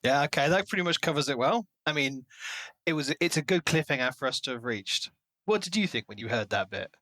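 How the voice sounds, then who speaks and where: A man's voice: fairly high pitch, animated, fairly quick, bright, forceful tone, no room sound. A man co-hosting a podcast, discussing books in a casual, conversational way. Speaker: podcast co-host.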